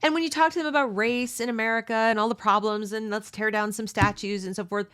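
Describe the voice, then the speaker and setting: A woman's voice: fairly even intonation, fast, a bit bright, clear, resonant tone. A middle-aged woman hosting a read-along book discussion, giving opinionated commentary and asking rhetorical questions. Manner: mocks others with high exaggerated voices.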